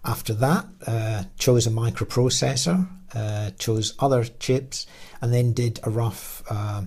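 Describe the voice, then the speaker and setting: A man presenting a technical slide lecture as an engineer, explaining in a halting way with frequent pauses mid-phrase. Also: mid-range voice, a little mellow. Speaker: radio designer and engineer presenting.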